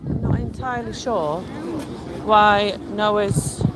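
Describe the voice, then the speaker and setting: A high-pitched man from Lancashire rambles in a friendly, meandering way while he strolls outdoors and answers his live chat. Heavy wind buffets a handheld phone mic, roaring over much of what he says. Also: fairly even intonation, slow. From Lancashire, England. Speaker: live-streaming vlogger. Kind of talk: casual live-stream chat with viewers. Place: outdoors in a windy seaside town. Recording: loud wind noise on the mic.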